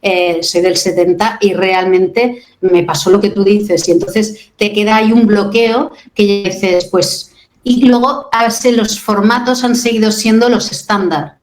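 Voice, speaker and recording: A woman in her fifties hosting a radio interview, asking questions and reflecting in a steady way. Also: mid-range voice, measured pace, echoey room, rough recording. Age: 50s. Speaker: radio host.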